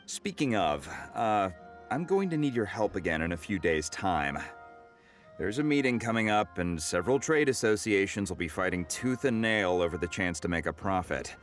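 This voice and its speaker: A businesslike quartermaster from a video game, with a lowish voice that moves expressively up and down. He sounds discreet and cordial over faint background music. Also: animated, moderate pace. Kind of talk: video game character dialogue.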